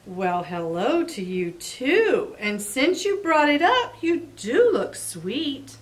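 A woman's voice: sits high, very animated, takes it slowly, heavily acted, poor audio. A woman reading a picture book aloud and voicing a sly, easygoing male fox in a teasing, coaxing way. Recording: voice a bit off-mic.

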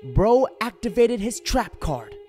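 A man's voice: sits high, very animated, slow pace, music in the background. A man doing crude, sarcastic commentary over viral clips, delivering punchlines from a home studio on a headset mic. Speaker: reaction commentator.

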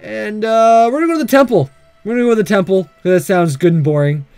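A man's voice: sits high, quick pace, darkish tone, clean tone, no room sound, faint music behind. A man hosting a game playthrough, chatting casually in a goofy, jokey, theatrical way. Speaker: gaming video host reading and reacting. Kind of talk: casual let's-play commentary.

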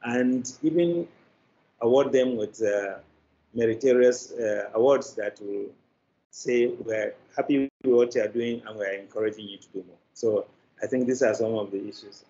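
An older man with a Nigerian accent telling anecdotes in an interview, choosing his phrases in a deliberate, halting way. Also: mid-range voice, dark tone. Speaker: media producer, interview guest. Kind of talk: interview answer, anecdotal storytelling. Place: indoor room, on a video call. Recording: slightly dull video-call audio.

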